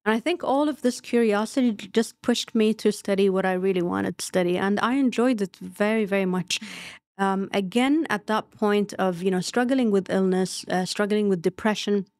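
A woman in her forties talks thoughtfully as a podcast guest, circling her points with soft "you know" fillers. Her voice sits at a middling pitch. It is recorded close on a boom mic in a small, dry studio. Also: moderate pace, dry recording. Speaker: guest, literature professor and writer. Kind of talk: podcast interview. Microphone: boom mic on arm close to mouth.

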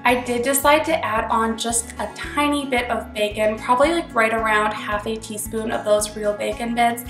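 A young woman with a slightly high voice, a bit congested from a cold, talks through a recipe in a chatty, upbeat way. She is recorded in a roomy home kitchen, with light music playing underneath. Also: fairly even intonation, moderate pace, a bit bright. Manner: chatty and upbeat, explaining step by step. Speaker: cooking channel host. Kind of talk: casual cooking tutorial voice-over.

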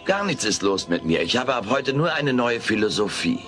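A man's voice: medium pitch, sing-song, moderate pace, fairly bright tone, faint music behind. A man playing a wisecracking, theatrical alien creature in a German comedy audio play, talking in a pompous, melodramatic way. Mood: philosophical. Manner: pompous, melodramatic, mock-philosophical.

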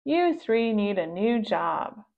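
A woman's voice: medium pitch, big pitch swings, slow, creaky, dull audio, heavily acted. A woman voicing a bold young storybook heroine, bossy and emphatic. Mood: commanding.

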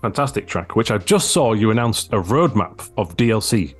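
A man's voice: on the low side, lively intonation, normal speed, breathy edge, soft background music. A young man hosting a podcast, chatty and enthusiastic, talking into a large microphone over a video call. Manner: chatty, enthusiastic, asks leading questions. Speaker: podcast host. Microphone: large studio microphone on a video call.

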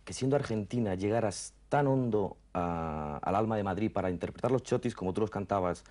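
A man's voice: lowish voice, a little fast, a little mellow. A man, asking questions as a television interviewer. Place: dim furnished lounge, indoors. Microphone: table microphone on a stand.

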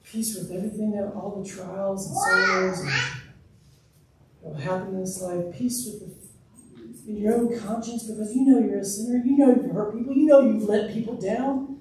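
A man's voice: sits high, expressive, moderate pace, heavy background noise. Man preaching in an echoey church. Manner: earnest, emphatic preaching with open gestures. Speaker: pastor. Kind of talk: sermon. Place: church sanctuary, speaking from a pulpit. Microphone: room mic, far from speaker. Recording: echoey distant church recording.

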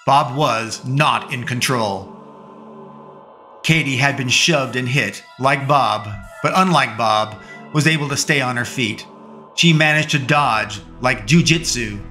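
A man narrating a first-person action story in a measured, dramatic reading. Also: medium pitch, bright, forceful tone.

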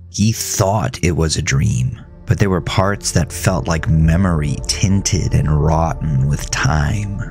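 A man's voice: very deep voice, husky, no echo. A man reading scripted horror fiction as the narrator.

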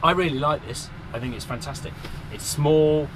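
A man's voice: fairly high pitch, normal speed, background noise. A man in his forties presenting a camper van with upbeat, chatty enthusiasm as he walks around it and inside, pointing out features. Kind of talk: upbeat walkaround review. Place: outdoors by a van on a windy coast, and inside the van.

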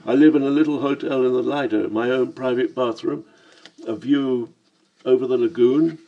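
A man voicing a cheeky, wiry Cockney petty crook who talks in a chirpy, teasing, matey way, pitched up above his own voice. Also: steady tone, normal speed, highs cut off, lightly acted. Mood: boastful.

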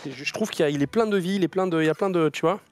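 Fast-talking high-voiced cooking host. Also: male voice, no echo, faint music behind. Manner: quick, instructive, upbeat. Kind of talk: cooking demonstration chat. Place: studio kitchen set.

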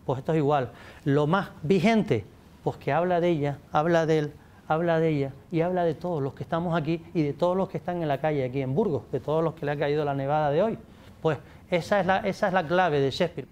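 A man's voice: fairly high pitch, a little fast, darkish tone. A man in his forties, a native English speaker, answering interview questions about literature in a studio, with lots of restarts and gestures. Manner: gestures a lot, many restarts, addresses audience. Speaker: guest speaker, literature scholar. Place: studio set with pink backdrop. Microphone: table mic.

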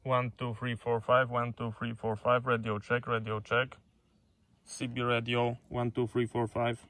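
A man delivers radio test calls in short, deliberate phrases. His pitch stays flat and his tone is bright and forceful, with faint noise in the recording.

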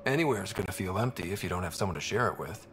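An actor playing a young male expedition leader, calm and earnest. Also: low-pitched, fast talker, a little hiss or hum.

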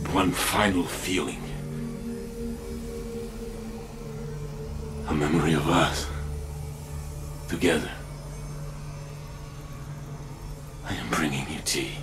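An actor playing a noble, devoted robot warrior in a video game, speaking in a halting, formal, dramatic way. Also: male voice, a bass voice, creaky, exaggerated voice. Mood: wistful, grieving.

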